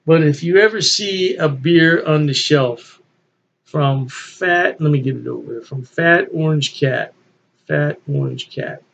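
Older man, higher-pitched voice, emphatic. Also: unhurried, vocal fry, a bit bright, lo-fi sound, slight background noise. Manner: enthusiastic, emphatic, repeating phrases for emphasis. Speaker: beer reviewer, channel host. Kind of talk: casual unscripted review chat to camera. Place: small indoor room at home.

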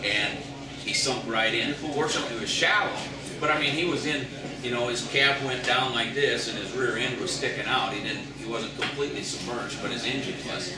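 A man's voice: medium pitch, a little fast. A middle-aged man, a fishing guide, giving a folksy storytelling seminar talk to an audience in a large indoor shop. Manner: storytelling, folksy, repeats words. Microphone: far camera, no mic. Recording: echoey room from far off, loud crowd.

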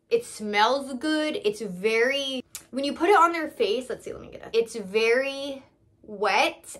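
Young woman chatting, slightly high voice. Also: fairly bright tone. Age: young adult. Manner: candid, conversational, thinking aloud. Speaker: salon owner vlogger. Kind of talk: casual product haul chat. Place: small indoor room.

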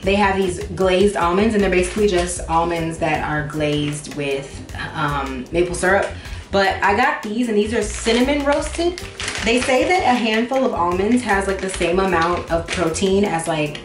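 A woman in her twenties chatting informally to viewers in slangy, playfully emphatic speech, recorded on a camera a few feet away in a home kitchen. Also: on the low side. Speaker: vlogger. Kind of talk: casual chat to viewers, grocery haul. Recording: echoey room, faint music behind.

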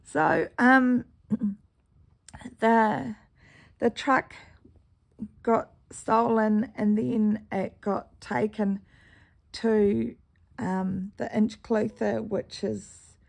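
Woman, New Zealand accent, croaky, gravelly, unhurried, casual stitching vlog. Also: on the high side, little pitch movement. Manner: rambling storytelling with frequent throat clearing. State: croaky morning voice. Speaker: cross-stitch vlogger.

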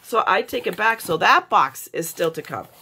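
A middle-aged American woman, sick and low on energy, chatting casually and rambling in a confiding way for a craft vlog. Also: animated, normal speed, creaky, sharp tone, breathy edge. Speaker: craft vlogger. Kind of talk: casual chat in an unboxing vlog. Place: indoors at a craft table.

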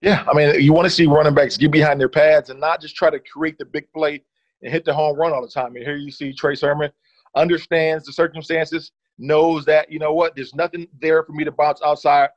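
A man in his thirties with a slightly higher voice breaks down football film in a relaxed, conversational way. His audio is dry and a little muffled, like a video call. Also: brisk, no echo. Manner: relaxed, leans on "certainly" and "uh". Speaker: former running back, analyst guest.

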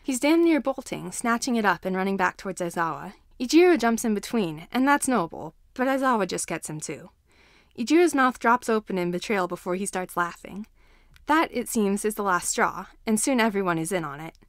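Female story narrator, medium pitch, expressive scripted reading in a dry, echo-free space. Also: a little fast. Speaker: fan fiction narrator.

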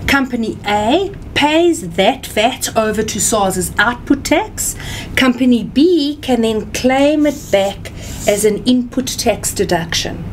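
A middle-aged woman with a South African accent gives an instructional presentation. She takes her time, with plenty of rise and fall in her pitch. There is noticeable background noise with a low rumble. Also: animated, low-quality recording. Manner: measured, step-by-step, didactic. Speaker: tax seminar speaker and writer. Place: small indoor office or meeting room.

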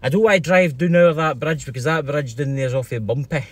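A man's voice: a little high, fast talker. A middle-aged Scottish man hosting a casual vlog, chatting and teasing jokily with a friend. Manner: jokey, teasing banter. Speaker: vlog host. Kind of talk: casual livestream chat with a friend. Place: inside a parked car. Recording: noticeable background noise inside car.

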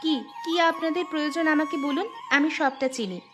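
A woman acts out a young village daughter in a cartoon. She is high-pitched, eager and scheming, pressing her point with emphasis. Music plays clearly behind her. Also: a little fast, close to an everyday voice. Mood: eager, persuasive. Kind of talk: Bengali cartoon voice acting.

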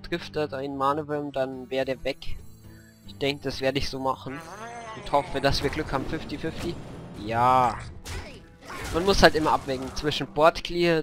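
A man with a hoarse voice from a cold, at an ordinary middle pitch, talks through a card game as a casual commentator. He weighs each move aloud and pauses on fillers. Faint music plays underneath. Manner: thinks aloud, weighing moves, frequent fillers. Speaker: gameplay commentator. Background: faint background music.

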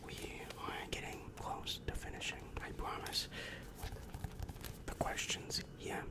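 A young man in a whispered, breathy ASMR roleplay as a demon counselor, asking questions softly very close to the microphone. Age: young adult. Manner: slow, measured, soft questioning. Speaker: ASMR performer. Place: small dim room indoors. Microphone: large microphone very close to the mouth.

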